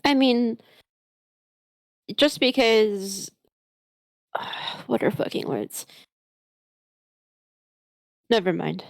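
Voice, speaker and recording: A woman's voice: a little high, expressive, extremely slow, vocal fry, pauses often, no echo, rough recording. Tired young woman, East Coast American accent. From East Coast of the United States. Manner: blunt, swears casually, tired. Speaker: podcast co-host. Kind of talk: casual chat among friends. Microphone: own mic on a voice call.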